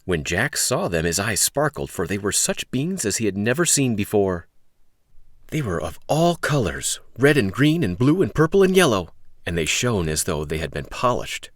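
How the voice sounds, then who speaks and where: A man hosting a read-aloud, telling a story to young children in an expressive, emphatic way. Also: lowish voice, moderate pace, no echo, clean studio sound.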